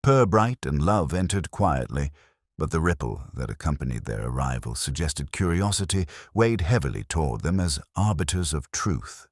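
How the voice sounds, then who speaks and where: A man giving a measured, literary scripted narration of a detective story. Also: rumbling low, very animated, dry recording, clean studio sound.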